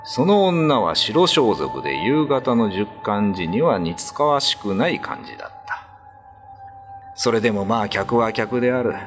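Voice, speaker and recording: A man's voice: lowish voice, sing-song, measured pace, music in the background. A man narrating a ghost story in a dramatic, scripted radio drama style.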